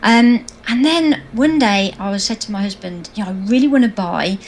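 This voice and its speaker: A woman guest talks through her story in a bubbly, anecdotal way, laughing easily, at a middle pitch. A faint noise runs behind her voice. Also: normal speed. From England. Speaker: guest, social media trainer. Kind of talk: interview, storytelling.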